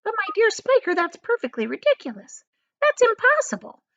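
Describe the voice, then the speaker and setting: Brief: woman reader playing scornful, greedy, overbearing storybook aunt, exclaiming in big pitch swoops, slightly dull lo-fi sound. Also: huge pitch swings, normal speed, a bit bright, full character voice. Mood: scornful disbelief. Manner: exclamatory, demanding, scoffing.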